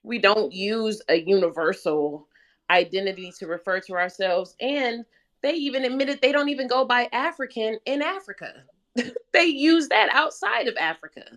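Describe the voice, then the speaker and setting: A woman talking casually as a panelist, explaining her reasoning in a measured way. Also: fairly high pitch, animated, a bit bright. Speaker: discussion panelist. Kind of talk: casual discussion.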